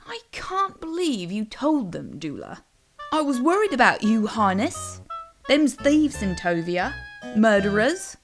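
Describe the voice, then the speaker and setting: Fretful royal nursemaid, acted by a female narrator: high, worried, rustic turns of phrase. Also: very animated, measured pace, music in the background. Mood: worried scolding. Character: anxious, fussing female nurse to a princess.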